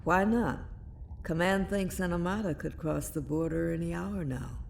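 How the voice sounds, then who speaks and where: A woman's voice: fairly low pitch, moderate pace, gravelly, a little mellow, slight background noise. A woman playing an older, weary, reflective starship captain in an audio drama. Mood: weary, subdued.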